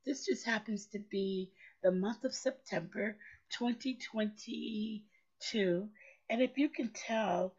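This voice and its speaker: A woman with a hoarse voice, still getting it back, preaches in a slow, deliberate way. She leans on her points and repeats them for weight. The recording sounds muffled and lo-fi. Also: medium pitch, a little mellow. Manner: preachy, emphatic, repeats phrases for effect. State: hoarse, recovering lost voice. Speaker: motivational show host. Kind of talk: slow motivational talk.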